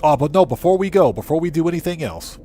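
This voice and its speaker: A man's voice: on the high side, animated, quick pace, warm tone, slight background noise. A man livestreaming a video game, giving casual commentary and muttering to himself as he plays. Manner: muttering to himself while playing.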